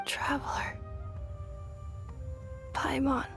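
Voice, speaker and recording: Very slow, faltering android girl. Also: fairly bright tone, whispered, soft background music. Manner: halting, fragmented. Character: damaged female humanoid machine, blank and faltering. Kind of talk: video game voice acting.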